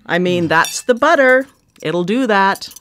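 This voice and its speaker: A woman's voice: medium pitch, expressive, measured pace, a bit bright, a little hiss or hum. A woman in a live radio drama playing a chef and sister with a dry, blunt, teasing way of talking. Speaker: supporting character in a radio drama.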